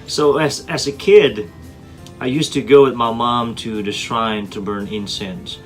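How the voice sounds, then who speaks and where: A man's voice: medium pitch, measured pace. A man in his forties from Indonesia, introducing himself as a teacher in an earnest, prepared talk with frequent "uh" fillers and repeated words. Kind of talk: scripted self-introduction. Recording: soft guitar music underneath.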